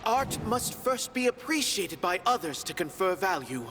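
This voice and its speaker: A man's voice: high voice, very animated, moderate pace. An actor playing an emphatic man stating a maxim in a video game, his voice drenched in reverb like a memory. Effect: long reverb, as a recalled voice. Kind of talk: scripted game dialogue. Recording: long reverb, clear background noise.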